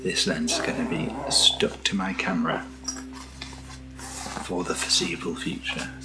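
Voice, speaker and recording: A British man speaks very softly in a deep voice, often slipping toward a whisper. He takes his time as he chats in an unscripted ASMR style, murmuring gently playful asides. Music plays clearly behind him. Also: very animated, measured pace, rough recording. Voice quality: soft, breathy, often near whisper. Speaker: ASMR product reviewer. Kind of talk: soft-spoken ASMR, unscripted unboxing chat.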